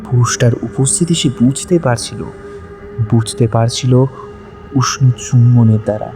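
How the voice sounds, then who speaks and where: A man's voice: mid-range voice, moderate pace. A man narrating a scripted dramatic audio story. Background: background music.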